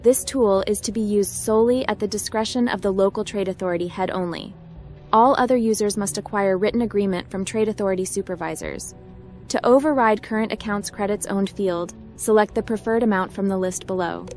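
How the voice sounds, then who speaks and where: A woman plays a young cyber runner in a sci-fi roleplay game. She is casual and self-assured, speaking at an average pitch while music plays clearly behind her. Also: a little fast, darkish tone, lightly acted. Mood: reading aloud. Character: confident, casual young female hacker and space adventurer. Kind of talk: roleplay game protagonist dialogue and musings.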